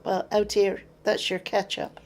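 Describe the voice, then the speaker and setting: A woman's voice: mid-range voice, little pitch movement, a little slow, a little hiss or hum. An older woman from England with an English accent, recounting her memories in an interview in a plain-spoken way. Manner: plain-spoken storyteller, acts out remembered exchanges.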